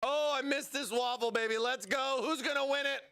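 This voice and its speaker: A man hosting a livestream giveaway, excitable and playful as he hypes up his chat, his voice strained from overuse. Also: very high-pitched, normal speed, clear, resonant tone. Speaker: livestream host.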